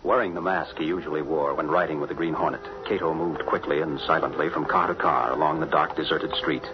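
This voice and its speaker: A man's voice: very deep voice, steady tone, brisk. A man narrating a 1940s radio drama in scripted, old-time broadcast style. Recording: muffled 1940s radio, music behind.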